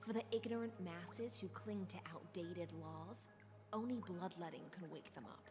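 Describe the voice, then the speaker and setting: A cold, serene woman of the sea from a video game, played by an actress. She sounds poised and languid, with a soft menace and a teasing edge. Music plays clearly behind her. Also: lowish voice, moderate pace, natural voice. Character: serene, cold female sea-born assassin, teasing and aloof. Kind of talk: video game character acting.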